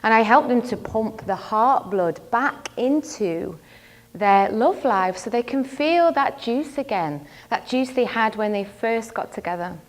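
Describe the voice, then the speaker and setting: A woman's voice: a little high, lively intonation, a little slow. Woman giving a motivational stage talk. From not from the local area; lives in London. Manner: storytelling, addresses the audience with questions. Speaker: relationship coach giving a talk.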